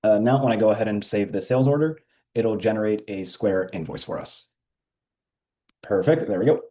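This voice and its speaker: A man walking through a software feature in a casual screen-share demo, with frequent ums and uhs. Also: lowish voice, fairly quick.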